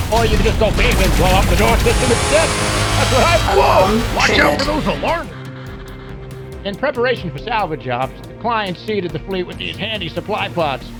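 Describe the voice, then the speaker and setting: A gun-loving mech mechanic for a video game. The voice sits high and jumps around in pitch with excitable energy. The talk is folksy and slangy, full of wisecracks, over music. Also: male voice. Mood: excited. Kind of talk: video game character voice lines.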